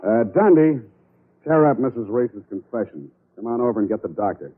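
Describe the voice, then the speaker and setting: An American actor playing a wry, tough, wisecracking private detective in 1940s radio drama style. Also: male voice, medium pitch, lively intonation, normal speed, breathy edge, phone-quality sound. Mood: dry, firm. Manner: wisecracking, sardonic. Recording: old band-limited radio broadcast.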